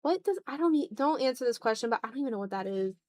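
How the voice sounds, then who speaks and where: Woman art streamer, fairly high voice, off-the-cuff and self-deprecating, muttering through her process to viewers. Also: expressive, rapid-fire, dark tone. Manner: self-deprecating, thinking aloud in fragments. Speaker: art livestreamer. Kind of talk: casual livestream chat while drawing.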